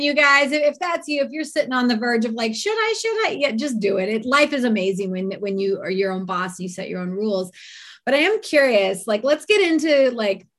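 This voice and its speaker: A woman's voice: medium pitch, a bit bright. A woman hosting an interview in a casual, slangy, enthusiastic chat style. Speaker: podcast host interviewing a guest.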